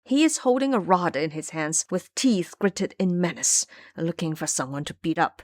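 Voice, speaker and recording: A woman's voice: lowish voice, lively intonation, normal speed, no room sound, clean studio sound, close to an everyday voice. A woman voicing a frightened male temple laborer in an audio drama, anxious and protesting. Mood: frightened reporting.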